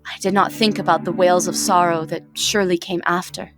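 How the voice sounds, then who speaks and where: A woman's voice: fairly low pitch, steady tone, brisk, music in the background, lightly acted. A woman voicing a motherly, guilt-ridden goat monster queen with formal, heartfelt diction, in a dramatic comic dub. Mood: regretful.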